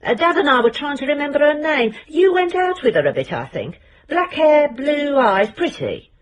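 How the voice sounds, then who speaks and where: A woman's voice: high voice, big pitch swings, normal speed, muffled recording. An actress playing a dry, probing middle-aged British woman investigator in a radio drama, with a wry, understated way of questioning. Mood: casual, fishing.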